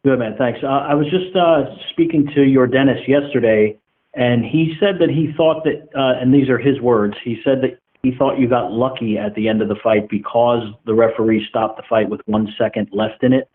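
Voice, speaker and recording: A man's voice: mid-range voice, steady tone, normal speed. Male journalist, phone-quality audio; conversational, roundabout questioning in an everyday register. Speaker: reporter asking questions. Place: phone line on a teleconference. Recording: narrow muffled telephone conference line.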